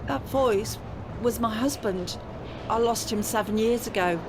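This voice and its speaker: A stubborn, grieving older widow in a naturalistic audio drama. Her voice sits fairly high and sounds plaintive, with some noise behind it. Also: unhurried. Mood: wistful.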